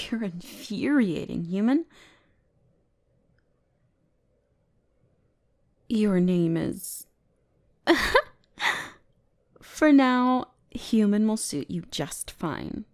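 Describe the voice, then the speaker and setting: A haughty young-lady elf mage from a fantasy roleplay audio , acted by a woman. She speaks in a mid-range female voice and draws out her words slowly. Her delivery is bossy and condescending, easily flustered and exasperated. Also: medium pitch, warm tone. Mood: grudging, irritated. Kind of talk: scripted roleplay audio drama addressed to the listener.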